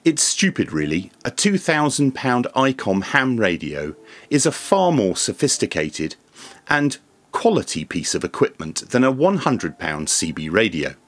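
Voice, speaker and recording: A man presents a scripted explainer to camera in a measured, formal, methodical way. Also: mid-range voice, big pitch swings, fairly bright tone. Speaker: video presenter and radio reviewer. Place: small indoor home studio room.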